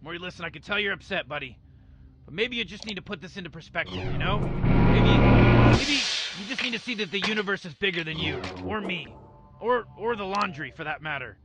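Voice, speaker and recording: A man's voice: high voice, big pitch swings, fairly quick, over loud music. A man voicing a cocky, eccentric old male scientist in a fan game playthrough, rambling smugly and brushing off worries. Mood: coaxing. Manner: rambling, smug, dismissive. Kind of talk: fan impression during a video game playthrough.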